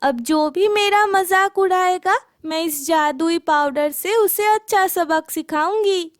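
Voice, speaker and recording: A woman voicing a young village girl, wronged and vengeful, in a Hindi animated moral story. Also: very high-pitched, slow, no room sound, clean studio sound. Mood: delighted, resolute.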